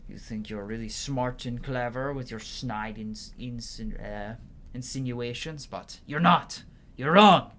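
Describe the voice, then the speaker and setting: A man's voice: medium pitch, measured pace, fairly bright tone, natural voice. Man voicing a curt, combative female military commander. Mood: scornful, angry. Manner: curt, defensive, scornful. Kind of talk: dramatic reading of game dialogue. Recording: clearly noisy background.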